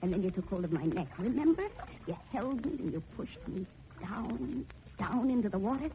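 An actress in a 1940s radio drama playing a wronged young daughter who confronts her would-be killer with slow, haunting taunts. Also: medium pitch, lively intonation, close to an everyday voice. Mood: eerie accusing. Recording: muffled, noisy old 1940s radio recording.

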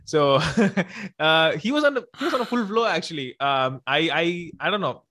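A man hosting a podcast interview, talking in a rushed, halting way with many restarts. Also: fairly high pitch, expressive, pushed and bright. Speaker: podcast host. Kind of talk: casual interview chat.

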